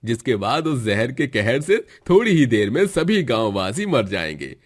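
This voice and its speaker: A man acting a gloating, husky villain. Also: mid-range voice, sing-song, moderate pace. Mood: scheming. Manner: gloating, scheming, cackling. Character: greedy cruel villain, a scheming man plotting mass poisoning. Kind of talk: Hindi cartoon moral story acting.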